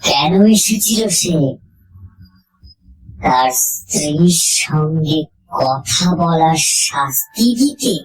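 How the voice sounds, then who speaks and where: A woman narrating a scripted horror story in the first person, suspenseful and dramatic, with deliberate pauses. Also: low voice, lively intonation, poor audio. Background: music.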